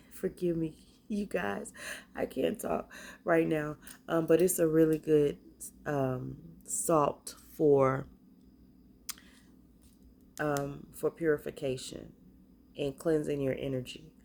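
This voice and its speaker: A woman with a stuffy cold, casually showing off the spiritual bath and body products she makes, talking in a halting, hesitant way. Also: low voice, fairly even intonation, gravelly, a little mellow, a little hiss or hum.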